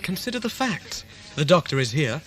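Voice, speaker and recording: A man's voice: on the high side, big pitch swings, moderate pace, a bit bright, soft background music. A man playing a stern, calculating corporate starship commander in an audio drama, formal, clipped and authoritative. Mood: commanding, calculating.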